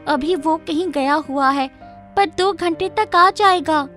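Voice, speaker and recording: High-voiced worried friend acted by a woman. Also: slow pace, pushed and bright, heavily acted. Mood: surprised, worried. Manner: urgent, advising. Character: worried, caring female friend of the heroine. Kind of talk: children's animated storytelling. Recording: music playing behind the voice.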